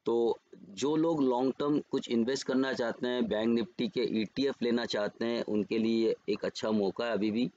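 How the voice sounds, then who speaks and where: A man with an Indian accent giving trading levels over charts as a market educator, unhurried and pausing often to correct himself. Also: medium pitch, fairly even intonation, dark tone, highs cut off. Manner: unhurried, pauses and self-corrects while reading levels. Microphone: screen recording voice-over.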